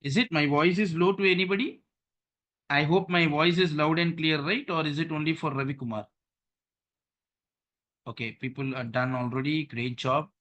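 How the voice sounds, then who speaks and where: Bright-voiced guy running an online class on a call, keeps saying okay and right. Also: on the high side, fairly even intonation, moderate pace, pauses often. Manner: repeats "okay" and "right", walks through steps on screen. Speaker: trainer. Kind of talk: online training session.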